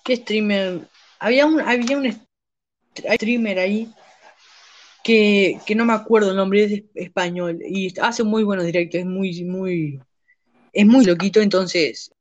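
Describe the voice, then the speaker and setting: A young man chats casually on a podcast in a fairly high voice. He rambles, breaks off and restarts his sentences, and often searches for names. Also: dull audio. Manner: rambling, halting, many restarts. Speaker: podcast host. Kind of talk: casual chat.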